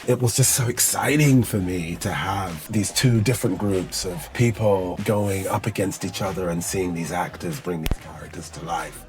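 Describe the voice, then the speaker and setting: Male film-maker, deep register, declarative promotional delivery over a prominent music bed. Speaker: writer and actor presenting own film project. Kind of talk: promotional talk to camera. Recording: music under the voice. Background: loud background music.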